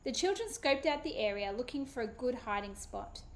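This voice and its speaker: A woman, a children's author, giving an expressive, animated dramatic reading of her book. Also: fairly high pitch, moderate pace. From Australia.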